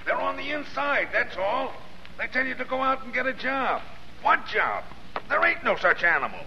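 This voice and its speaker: An actor playing a resentful, scheming small-time American crook who talks in insistent slang, 1930s film style. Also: male voice, extremely high pitch, very animated, moderate pace, gravelly, slight background noise, heavily acted. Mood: aggrieved, ranting. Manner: griping, insistent. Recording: dull old film soundtrack.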